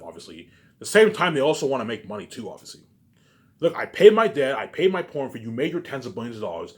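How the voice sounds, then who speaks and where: A man giving a rambling, informal monologue to his viewers, repeating himself, with emphatic profane bursts that drop into muttered asides. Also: medium pitch. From Canada. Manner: repetitive, halting, with habitual trailing tags. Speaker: vlogger.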